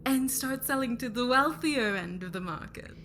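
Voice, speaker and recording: A woman's voice: on the high side, animated, moderate pace, a bit bright, a little hiss or hum. A woman playing a haughty noblewoman on a company board, speaking with condescending politeness in an ASMR roleplay.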